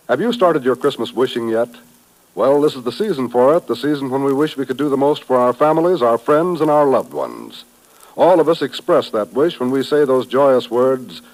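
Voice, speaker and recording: A man with an American accent and a medium-pitched voice reads as a radio announcer of the 1950s. His delivery is earnest, polished and scripted. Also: a little mellow, rough recording.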